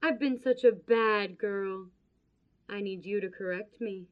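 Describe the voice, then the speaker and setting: A woman playing an anguished, sharp-tongued scheming wife in a horror audio drama, with a dramatic, pointed delivery. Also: medium pitch, a little slow, lo-fi sound, close to an everyday voice. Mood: pleading, seductive.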